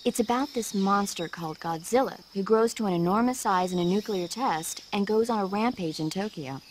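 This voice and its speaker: An actress playing a friendly, earnest radio support operator who chats enthusiastically in a video game. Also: female voice, mid-range voice, fairly quick, a little mellow, a little hiss or hum, close to an everyday voice. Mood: friendly, enthusiastic. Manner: chatty, earnest.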